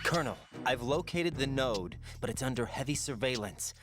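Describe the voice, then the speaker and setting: Actor as earnest rookie operative over music. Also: male voice, medium pitch, expressive, normal speed, natural voice. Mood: alert, inquiring. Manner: questioning, alert, clipped. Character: earnest young male rookie special-forces operative. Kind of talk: dramatic video game voice acting.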